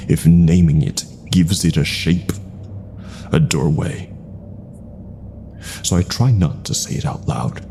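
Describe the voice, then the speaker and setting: A man narrating a scripted horror story with deliberate pauses, his phrases sometimes trailing into hushed breath. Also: very deep voice, creaky, a little hiss or hum.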